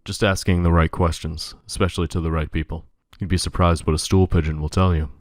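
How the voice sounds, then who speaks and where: A man playing a brooding, protective playboy detective in an audio drama, speaking in a grave, measured way. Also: deep voice, flat delivery, no room sound, lightly acted. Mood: smug.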